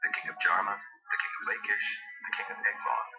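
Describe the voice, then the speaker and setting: A man narrating dramatized scripture in a solemn storytelling manner. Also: brisk. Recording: muffled audio with music underneath.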